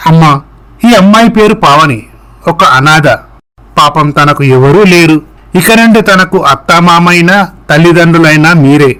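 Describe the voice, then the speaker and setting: A man's voice: fairly high pitch, unhurried, bright, forceful tone, clear, resonant tone. A man playing an earnest, persuasive young man in love in a story, pleading and reassuring.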